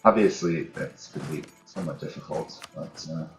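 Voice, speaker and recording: A man's voice: a bass voice, moderate pace, faint music behind, rough recording. A man giving casual commentary on a strategy game, thinking aloud and muttering to himself in short fragments. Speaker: gamer, host of a let's play series.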